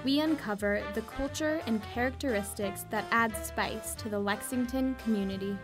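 A woman's voice: a little high, normal speed, over music. A young woman reading scripted narration for a documentary. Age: young adult.

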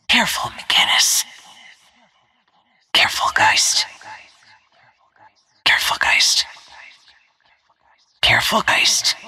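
A woman voicing a stealthy, cold-blooded assassin in a video game, with hushed, clipped, menacing short lines in a breathy, whispery voice. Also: very deep voice, full character voice. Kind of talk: video game character callouts.

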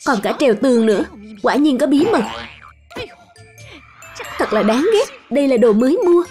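A woman's voice: high-pitched, lively intonation, moderate pace, music in the background. A woman voicing a suspicious, indignant young mother in a dubbed children's cartoon, exclaiming and questioning.

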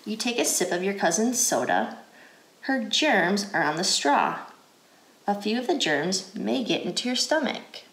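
A woman's voice: medium pitch, big pitch swings, a bit bright. American lady doing a kids read-aloud, takes her time, echoey room. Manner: deliberate, clearly phrased, asks questions. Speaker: educator reading a picture book aloud. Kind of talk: guided read-aloud for children. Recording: roomy indoor echo.

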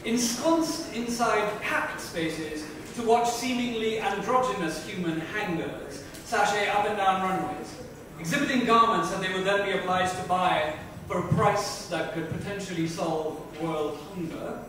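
A woman's voice: mid-range voice, measured pace, a crowd in the background. A woman giving a theatrical, emphatic dramatic reading of a book in an indoor lounge. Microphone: far microphone. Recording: distant mic, very echoey room, faint noise.

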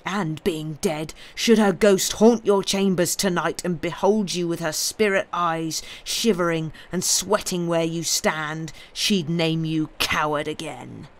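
Bitter male villain for an audiobook, acted by the narrator: slow, forceful, theatrical menace, dripping scorn. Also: medium pitch, lightly acted. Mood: scornful. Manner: sneering, forceful, declamatory. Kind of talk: dramatic audiobook character voice.

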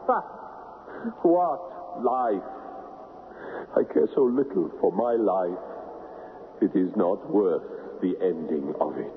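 Give the listener a broken, world-weary prince in a radio play. He speaks slowly and haltingly, with melodramatic swings of pitch. The old broadcast sounds thin and muffled. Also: lively intonation, big-room sound. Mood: bitter despair. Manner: slow, halting, melodramatic delivery. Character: despairing, world-weary crown prince renouncing life and love. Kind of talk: old-time radio drama acting. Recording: muffled 1970s radio broadcast, faint noise.

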